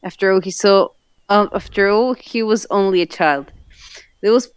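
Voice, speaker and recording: A woman's voice: medium pitch, normal speed, smooth, dry recording. A woman reading stories aloud in an amateur, casual way, with amused side comments. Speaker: fanfiction reader and commentator.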